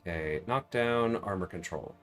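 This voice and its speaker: A man's voice: low voice, moderate pace, a bit bright, faint music behind. A man hosting a casual gaming livestream, thinking aloud in a rambling way as he chats to viewers. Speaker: livestream host.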